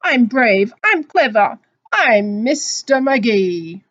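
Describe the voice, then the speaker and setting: A woman voicing a boastful, cheerful man from a children's storybook, exclaiming loudly and proudly. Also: a little high, very animated, slow, no echo, muffled recording, full character voice. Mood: proud, confident.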